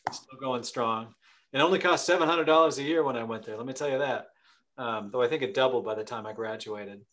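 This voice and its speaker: A man's voice: mid-range voice, a little fast, fairly bright tone. A middle-aged man hosts an online town hall over a video call from his home office. His tone is friendly as he reads out questions from the audience. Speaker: host, foundation president.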